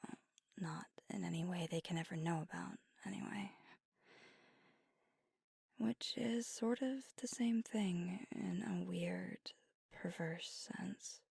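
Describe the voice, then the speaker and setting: A woman voicing a gravelly-whispered young vampire. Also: on the low side, slow pace, no room sound, studio quality, exaggerated voice. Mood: wistful. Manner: soft whispering, intimate. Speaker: ASMR roleplay performer. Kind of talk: ASMR roleplay. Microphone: close mic.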